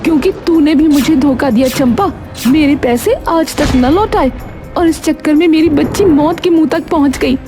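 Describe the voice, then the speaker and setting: Woman acting stern, high-voiced betrayed friend. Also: moderate pace, music in the background, lightly acted. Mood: reproachful. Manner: firm, reproachful. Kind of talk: cartoon moral story acting.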